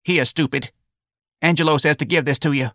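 A man's voice: fairly high pitch, steady tone, fairly quick, a bit bright, dry recording, studio quality, voice pushed up, lightly acted. A man voicing a weepy, exasperated working-class Southern mother in an audiobook, scolding plaintively in ungrammatical speech. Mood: aggrieved. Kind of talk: audiobook character dialogue.

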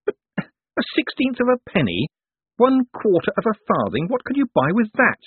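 A man voicing a quick-witted young man who asks dry, sardonic questions. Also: high voice, huge pitch swings, slightly rough, dry recording, voice pushed up, exaggerated voice. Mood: incredulous. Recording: tinny phone-like dry sound.

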